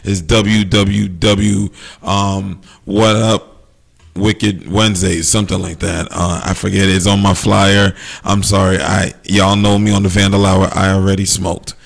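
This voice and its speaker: Low gravelly new dj dude, easygoing, laughs a lot on the podcast. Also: male voice, little pitch movement, a little slow. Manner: easygoing, laughs often, says he has a powerful voice. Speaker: DJ and podcast co-host. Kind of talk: casual podcast chat.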